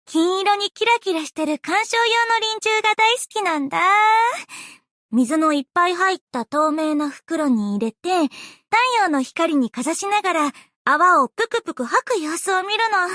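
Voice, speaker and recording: A woman playing an earnest, bubbly, easily flustered young female idol in an anime game, with a cute, expressive, girlish delivery. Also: very high-pitched, normal speed, no room sound, studio quality. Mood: wistful, fond. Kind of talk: anime game character voice lines.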